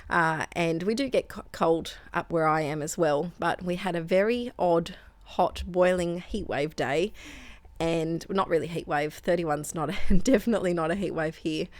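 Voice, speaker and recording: A woman's voice: lowish voice, normal speed, no room sound. An Australian woman chatting through a scrapbooking process video in a voice-over, rambling with asides and corrections while losing her voice. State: losing her voice, throat sore. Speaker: scrapbooking channel host. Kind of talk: voice-over craft process narration.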